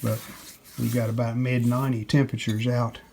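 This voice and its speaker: A man's voice: medium pitch, fairly quick, gravelly. Plain-spoken man over background noise. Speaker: restoration hobbyist and video host. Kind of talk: casual hands-on how-to narration. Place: home basement workshop. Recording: steady background noise, handling rustle.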